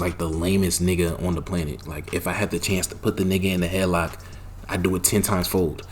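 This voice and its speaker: A young man casually telling a personal story, animated and profane, with loud bursts and lots of slang. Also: low voice, slight background noise. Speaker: storytime vlogger.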